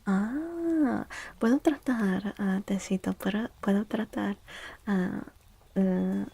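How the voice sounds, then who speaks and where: Soft, breathy, slow-talking woman, near-whispering. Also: mid-range voice. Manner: slow, hushed, gentle, often slipping into near-whisper. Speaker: livestream host. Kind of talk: soft-spoken ASMR livestream chat.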